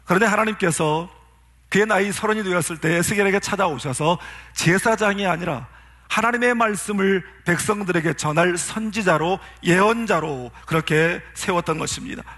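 A pastor delivers a sermon in a notably high voice for a man. He speaks earnestly and keeps turning to his listeners with rhetorical questions. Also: moderate pace, sharp tone.

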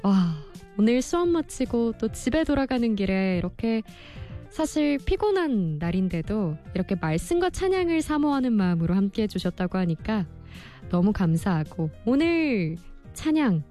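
A woman hosting a radio music show, reading listener messages in a polite, expressive presenter style. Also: medium pitch, normal speed. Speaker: radio host and producer. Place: radio studio.

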